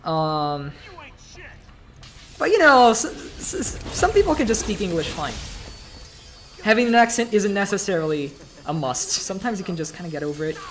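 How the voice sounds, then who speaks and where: A man's voice: sits high, big pitch swings, moderate pace, pauses often, a bit bright. A man from Brazil with a light Brazilian accent, chatting casually and rambling with many fillers while livestreaming a game. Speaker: streamer.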